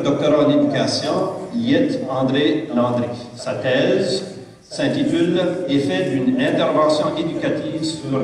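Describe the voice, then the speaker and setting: A man's voice: mid-range voice, darkish tone. A man serving as dean, formally reading out degrees and graduates' names. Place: large hall at a graduation ceremony. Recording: echoey hall, voice far from mic.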